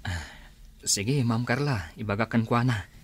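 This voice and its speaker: An actor playing a patient, weary grown son, a schoolteacher, speaking earnestly in a radio drama. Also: male voice, mid-range voice, unhurried, husky. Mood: apologetic. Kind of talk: Filipino radio drama acting.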